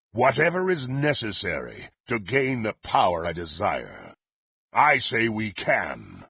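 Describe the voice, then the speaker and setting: An actor playing a power-hungry, contemptuous dark warrior in a fantasy video game, with a gravelly, husky voice and haughty, theatrical, archaic phrasing. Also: male voice, mid-range voice, dry recording, studio quality, full character voice.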